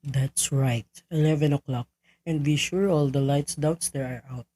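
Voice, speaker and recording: A criminal go-between played by a man in a radio drama, methodical and businesslike when giving orders. The voice is medium in pitch, dark and somewhat husky. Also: steady tone, measured pace. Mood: businesslike, secretive. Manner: businesslike, instructing. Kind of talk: radio play acting.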